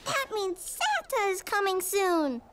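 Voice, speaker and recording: An actor voicing a curious, excitable four-year-old boy in a preschool cartoon, with simple, eager, childlike phrasing. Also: very high-pitched, slow, noisy background, exaggerated voice. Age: young child character.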